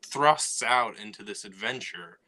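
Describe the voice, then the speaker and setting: A man with a low, bright, forceful voice in casual chat. He talks in a measured, explanatory way and fills his pauses with easy verbal tics. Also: expressive, poor audio. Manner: measured, explanatory, filler "you know". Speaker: podcast co-host, writer.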